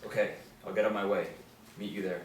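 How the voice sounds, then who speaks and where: A man's voice: fairly low pitch, little pitch movement, brisk, lightly acted. A man acting a serious, intent FBI agent in a table read of a TV drama, clipped and businesslike. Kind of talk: table read of a TV pilot script. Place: small indoor room. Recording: roomy, distant mic, noisy ambience.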